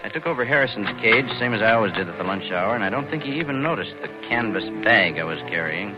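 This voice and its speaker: An American actor playing a scheming, slangy bank clerk in a hard-boiled 1940s radio crime drama, both speaking and narrating. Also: male voice, lowish voice, expressive, quick pace, lightly acted. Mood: tense recounting. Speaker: lead actor and first-person narrator. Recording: dull vintage 1940s radio recording with music.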